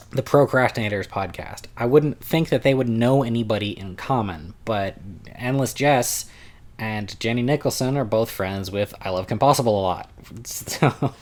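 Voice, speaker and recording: Chatty young man rambling through a story. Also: mid-range voice. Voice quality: loud voice. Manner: rambling, self-interrupting, chatty. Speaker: vlogger recounting a convention. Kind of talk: casual storytelling vlog. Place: at home with his cat.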